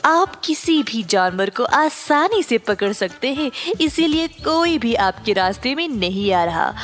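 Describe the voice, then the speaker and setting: A woman plays a cunning fox from a children's cartoon story, a servant who schemes. The voice sits rather high and moves slowly, over faint music. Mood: flattering, deferential. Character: cunning fox servant, flattering yet secretly scornful.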